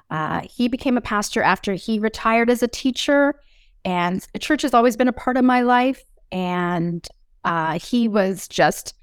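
A woman's voice: mid-range voice, clear, resonant tone, dry recording, studio quality. A middle-aged woman from Newfoundland, Canada, giving a personal testimony as an interview guest. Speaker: podcast host as interview guest.

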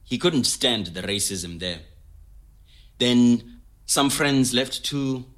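A man's voice: medium pitch, lively intonation, slow pace, a bit bright. An actor playing an easygoing, wry man recalling his younger days in a conversational storytelling style. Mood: sober. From Zimbabwe. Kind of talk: scripted oral-history storytelling in conversational manner.

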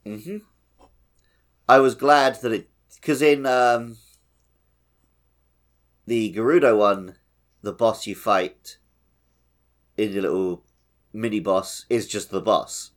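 A man's voice: lowish voice, steady tone, slow pace, long pauses. A man co-hosting a podcast, casually discussing a video game. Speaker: podcast co-host.

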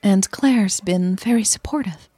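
A woman who is a native Dutch speaker, voicing a shy but playful, proud girlfriend in an audio story, softly earnest. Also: medium pitch, unhurried, husky, dry recording. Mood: earnest, uneasy.

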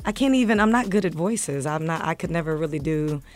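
A woman singer with a deep, rich voice, a guest in a radio studio, talking in a laid-back way with many short affirmations. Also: lively intonation, brisk, a little mellow. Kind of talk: radio interview.

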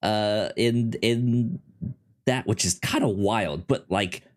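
A man's voice: lowish voice, slow. A man co-hosting a podcast in casual film discussion, often stammering and repeating words as he goes. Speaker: podcast co-host.

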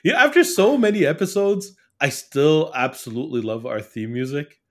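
A man hosting a casual podcast, cheerful and quick to laugh. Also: fairly high pitch, animated. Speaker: podcast host. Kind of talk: casual chat.

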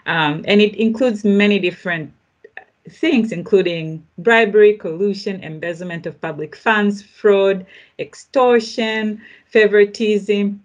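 A woman hosting a podcast, introducing the subject in a deliberate, halting way as she thinks aloud. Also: medium pitch. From Tanzania. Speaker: podcast host.

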